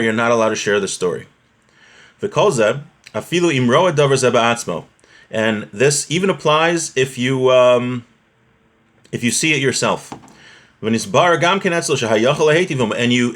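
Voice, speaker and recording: A man's voice: medium pitch, fairly bright tone. A man giving an informal religious lesson, reading from a text and explaining it conversationally with everyday examples and check-in questions. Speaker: religious teacher.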